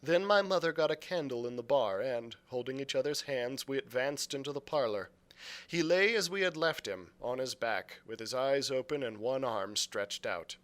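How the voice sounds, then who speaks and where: Guy reading a classic adventure book out loud, mid-pitched voice, expressive n deliberate. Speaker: youth services librarian reading aloud. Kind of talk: expressive storybook narration.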